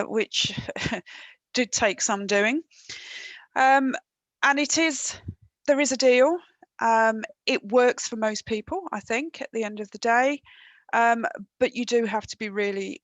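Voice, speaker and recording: A woman's voice: medium pitch, dry recording. A woman presenting on trade and customs rules in a webinar over a headset. She talks conversationally, with frequent ums and dry, wry asides.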